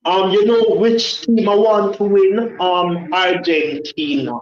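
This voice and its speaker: A man's voice: high-pitched, steady tone, slow. A man calling in to a live show, chatting casually and rambling with many hesitations. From Jamaica. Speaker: caller. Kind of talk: casual call-in chat. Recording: echoey muffled phone-in line.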